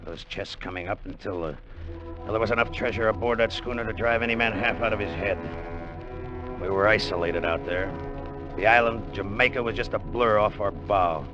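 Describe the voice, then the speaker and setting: A male actor as a brooding salvage crewman with a low voice, plotting and confiding like a hard-boiled radio narrator. The dull 1950 broadcast recording carries music behind him. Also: a little fast, lightly acted. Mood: brooding, calculating. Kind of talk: 1950s radio drama acting and narration.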